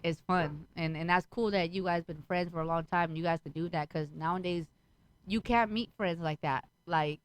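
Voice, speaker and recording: A young woman comedian talks in a loud, brash way, swearing freely and breaking into laughs. Her delivery is casual and lively, like a guest riffing on a live stream. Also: fairly low pitch, moderate pace, clear, resonant tone, no room sound. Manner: loud, profane, laughs often.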